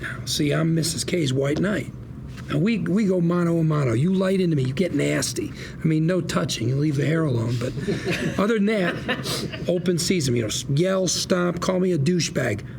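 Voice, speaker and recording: A man playing a cocky, fast-talking scheming lawyer in a TV drama table read, with slick, wordy patter. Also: a little high, vocal fry, background noise. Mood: scheming, animated.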